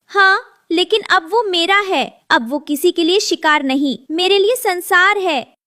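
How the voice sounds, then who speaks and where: Woman playing a high-voiced, devoted mother bird. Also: a little slow, sharp tone, exaggerated voice. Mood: fierce, protective. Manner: earnest, heartfelt. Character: devoted, resolute mother bird of modest means.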